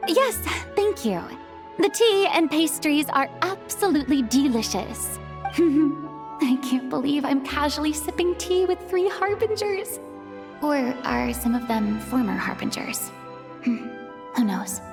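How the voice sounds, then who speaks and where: A woman's voice: high voice, expressive, a little slow, gravelly, music in the background, heavily acted. A woman voicing a gracious, theatrical young lady in a video game, eagerly polite with a touch of nerves. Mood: polite, amused. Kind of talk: English video game voice acting.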